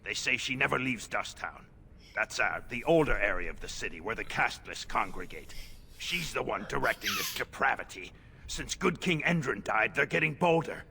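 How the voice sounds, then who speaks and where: An actor playing an anxious, pleading old shopkeeper in a fantasy video game, given to stammering and indignation. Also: male voice, medium pitch, wildly swooping pitch, normal speed, vocal fry, bright, forceful tone, a little hiss or hum, exaggerated voice. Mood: bitter indignation. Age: older. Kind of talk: video game character acting.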